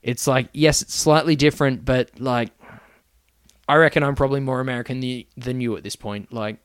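A man's voice: medium pitch, steady tone, a little fast, smooth, dry recording, studio quality. Swearing Australian man telling stories. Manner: swearing, joking, storytelling. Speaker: podcast guest. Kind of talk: casual podcast chat.

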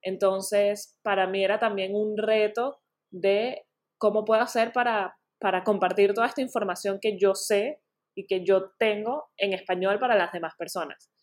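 A woman's voice: mid-range voice, fairly even intonation, normal speed. A woman, a naturopath guest, talking in a casual interview in an earnest way and often restarting her words.

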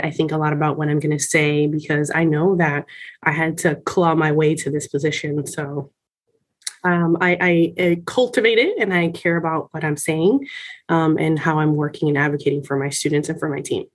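Emphatic woman with a slightly low voice. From Miami, United States. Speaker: senior college administrator being interviewed. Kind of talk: conversational interview, explaining from personal experience.

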